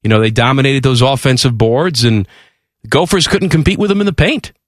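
A man's voice: medium pitch, brisk, no echo. A man with a stuffed-up head cold, hosting a sports radio show from a studio microphone with wry, playful banter.

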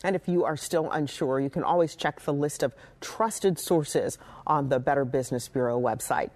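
Woman, local TV news anchor, steady scripted read. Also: sits low, moderate pace.

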